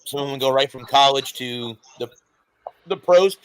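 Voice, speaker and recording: A man's voice: medium pitch, normal speed, a bit bright, slight background noise, poor audio. A man co-hosting a casual sports talk show, explaining at length and correcting himself as he goes. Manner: rambling, self-correcting explanations. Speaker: co-host.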